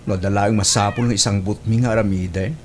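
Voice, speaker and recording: A lowish male voice of an actor plays a friendly, candid office colleague in a radio-style drama. He sounds conversational and matter-of-fact, with slight noise on the recording. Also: fairly even intonation, fairly quick, lightly acted. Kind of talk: Ilocano audio drama acting.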